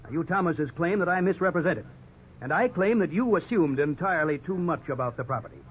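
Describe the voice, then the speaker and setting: An American real estate agent played by a male actor in an old radio drama, defending himself formally while edging toward compromise. His fairly high voice comes through a narrow, tinny broadcast recording with faint noise. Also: lively intonation, fairly quick, close to an everyday voice. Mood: defensive, insistent. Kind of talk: 1950s radio drama acting.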